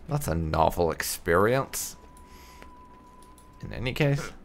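A man's voice: low-pitched, animated, slow pace, creaky. A man giving casual, wry commentary on his video game playthrough, often half talking to himself. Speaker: gaming video host.

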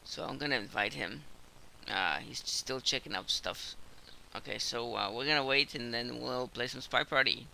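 A man's voice: medium pitch, moderate pace, creaky, pushed and bright, a little hiss or hum, rough recording. A man with a cold, casually commenting on his own gameplay while he plays, rambling with lots of fillers. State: hoarse from a cold.

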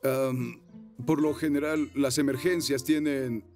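An actor playing a bumbling, excitable rescue motorcycle dad in a children's cartoon, flustered and hesitant in manner. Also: male voice, medium pitch, slow, soft background music. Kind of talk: dubbed children's cartoon acting.

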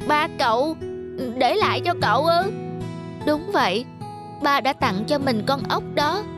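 A woman voicing a young child in a gentle moral cartoon, speaking simply and earnestly. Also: sits high. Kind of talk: Vietnamese children's cartoon dubbing.

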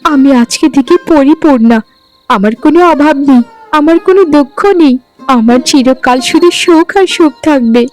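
Woman doing a humble young village wife for a cartoon, very high tender plaintive voice, soft music under it. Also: moderate pace, close to an everyday voice, poor audio. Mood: grateful, tender. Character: meek, devoted young village wife, self-pitying orphan. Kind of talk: cartoon voice acting in Bengali fairy tale.

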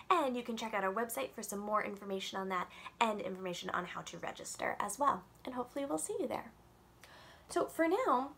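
A woman's voice: on the high side, vocal fry. Young female children's librarian, bubbly read-aloud storyteller, home webcam sound. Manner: animated and expressive, drawn-out storytelling. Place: home room with bookshelves. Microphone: webcam on a video call.

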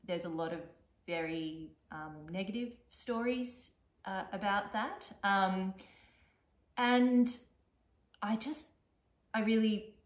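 A middle-aged woman with an Australian accent chatting casually as a knitting podcast co-host, often pausing to think aloud. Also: mid-range voice. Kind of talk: casual chat showing knitting projects. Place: living room, seated on a couch. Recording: echoey room.

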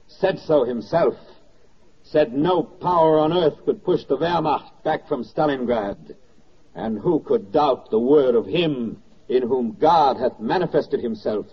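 An actor playing a grave, bitterly ironic wartime narrator in a 1940s radio drama, speaking in measured, declamatory phrases. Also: male voice, on the high side, breathy edge. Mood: sardonic. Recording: dull vintage 1940s radio recording, music behind. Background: music.

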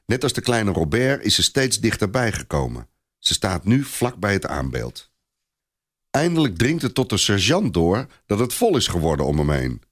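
A man's voice: fairly low pitch, huge pitch swings, normal speed. A man narrating a scripted story expressively, as a storyteller.

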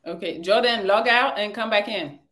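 A woman hosting a live call-in dating show over video call, brisk and dry as she directs the guests. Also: medium pitch, a bit bright. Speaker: host. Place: indoor room. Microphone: video call, phone or laptop.

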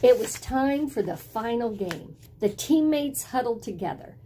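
Slow, mellow middle-aged woman reading to children. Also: a little high, lively intonation, slight background noise. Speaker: storyteller reading a picture book aloud. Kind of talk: expressive children's read-aloud. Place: small indoor room at home with bookshelves.

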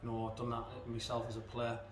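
A man in his thirties gives a post-match interview as assistant football manager, talking in an even, level tone and restarting his sentences often. His voice bounces around a roomy space, picked up from a distance. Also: medium pitch, fast talker, slight background noise. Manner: hesitant, many fillers and restarts. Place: indoors in front of a club sponsor backdrop. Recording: echoey room, mic at a distance.

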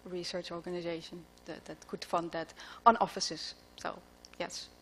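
A middle-aged woman answering audience questions after a conference talk, pausing often with fillers as she thinks, speaking into a podium microphone in a large lecture hall. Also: fairly low pitch, little pitch movement, fairly bright tone, a little hiss or hum. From Netherlands. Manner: thoughtful, halting with frequent fillers.

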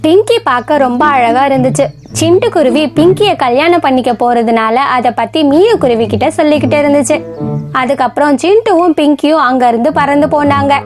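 A high-voiced woman reads a children's story as narrator. Her delivery is scripted storytelling, with light music in the background. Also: fairly quick.

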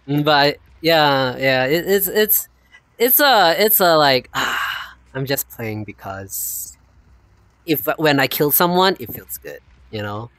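Animated man chatting on stream. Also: a little high, slow, dry recording. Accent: non-native, unnamed. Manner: animated, rambling, laughs often. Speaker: streamer host. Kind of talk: casual chat on a VR stream.

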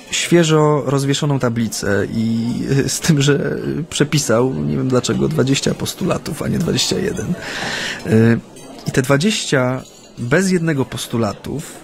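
An actor playing an earnest Japanese man recalling his activist past in a radio play, with a reflective, halting delivery. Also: mid-range voice, creaky. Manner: hesitant, reflective, with frequent hums and pauses. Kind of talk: radio drama, conversational recollection.

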